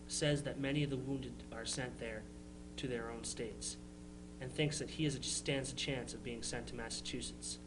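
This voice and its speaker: A noisy, humming live recording of a man acting a young 1860s brother writing home. His voice sits at a middle pitch, and he reads earnestly and plainly, tripping now and then over a phrase. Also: close to an everyday voice. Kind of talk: dramatized reading of historical letters.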